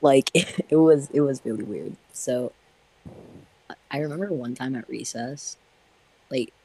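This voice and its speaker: A teenage boy with a husky voice tells an awkward story from his childhood. He starts out hesitant and talks casually throughout. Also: mid-range voice, a little slow, mellow, low-quality recording.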